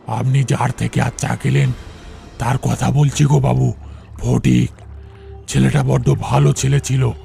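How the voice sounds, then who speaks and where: A man playing an eerie, humble old village boatman ghost in an audio horror story, with a creaky, gravelly voice. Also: medium pitch, flat delivery, a little slow, pauses often, dark tone, soft background music. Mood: bitter, mournful.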